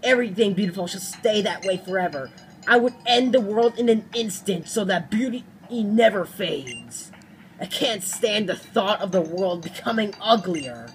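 A woman voicing a grave, grandiose male boss from a video game, speaking in a solemn, pompous, measured way. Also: medium pitch, animated, faint music behind, natural voice. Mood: intense.

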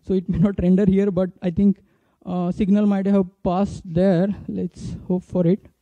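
A man in his thirties with an Indian accent giving an informal demo talk as a conference speaker, haltingly, into a handheld microphone. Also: high-pitched, little pitch movement, warm tone, no room sound. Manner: halting, hesitant delivery. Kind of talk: informal flash talk with live app demo. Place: indoor conference stage. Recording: dull, muffled stage recording.

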